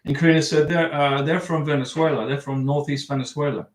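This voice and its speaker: A man's voice: on the high side, steady tone, fairly quick, echoey room. A man hosting a livestream, chatting casually and rambling as he reads viewer comments and answers them. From United States. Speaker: livestream host giving visa advice. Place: home room.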